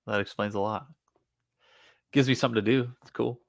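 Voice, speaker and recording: A man co-hosting a podcast, answering in a laid-back, quiet way with short replies. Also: fairly low pitch, fairly even intonation, brisk, vocal fry, dry recording. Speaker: podcast co-host. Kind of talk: casual banter.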